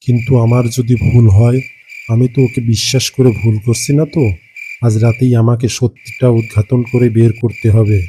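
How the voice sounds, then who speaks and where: Man playing an earnest, mellow village farmer. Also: medium pitch, flat delivery, normal speed, a little hiss or hum, close to an everyday voice. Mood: weary, doubting. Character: troubled village farmer, fond of his pet fox. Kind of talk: cartoon character acting.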